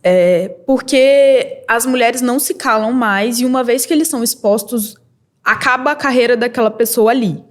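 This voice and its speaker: A young woman from Brazil, a model and entrepreneur, telling her story as a podcast guest in a candid, lively way with quick bursts and laughs. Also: on the high side. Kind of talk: casual interview storytelling.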